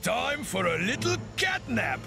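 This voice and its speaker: High, gravelly, gloating supervillain. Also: male voice, very animated, music in the background, heavily acted. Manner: mocking, drawn-out. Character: gloating, theatrical mad supervillain. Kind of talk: cartoonish video game villain acting.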